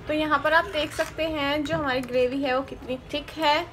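High-voiced woman guiding a home recipe. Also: moderate pace. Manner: steady instructional, repeats each step. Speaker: cooking channel host. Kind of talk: step-by-step recipe voice-over. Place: home kitchen. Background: sizzling and stirring in a pan.

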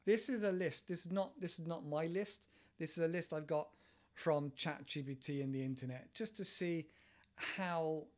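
Man, English accent, forties, slightly high pitch, relaxed rambling explanations, home headset recording. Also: normal speed. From England. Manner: relaxed, rambling, many fillers and restarts. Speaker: English teacher podcast host. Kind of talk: casual explanatory monologue. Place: living room at home. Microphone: headset with desk mic, webcam.

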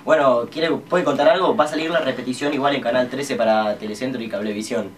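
A man's voice: medium pitch, fast talker. A young Argentine man speaks casually, like a prize announcer on a prank phone call. He is picked up a little far from the mic, with faint noise around him in a bedroom. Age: young adult. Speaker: prank caller. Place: small bedroom. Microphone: camera across the room. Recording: distant camera mic, faint room noise.

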